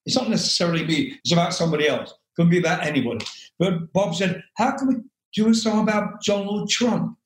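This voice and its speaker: An older English man with a loud speaking voice, telling rambling stories as an interview guest, with many fillers and frequent laughs. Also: high-pitched, expressive, fast, reverberant, a little hiss or hum, rough recording. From England. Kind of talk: relaxed storytelling interview.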